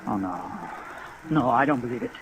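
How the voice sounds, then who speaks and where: A defensive beach bum in his mid-thirties, acted by a man in a 1950s radio drama. His voice sits at a middling pitch, hesitant and earnest, with faint rainy hiss behind it. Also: animated, moderate pace, lightly acted, low-quality recording. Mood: stunned, doubtful.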